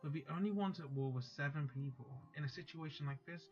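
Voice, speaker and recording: A man's voice: on the high side, fast, highs cut off, faint music behind. A man hosting a let's play, chatting casually and then reading a visual novel's text aloud.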